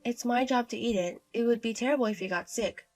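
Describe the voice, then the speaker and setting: Woman doing a meek, soft-spoken young wife. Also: fairly high pitch, a little fast, natural voice.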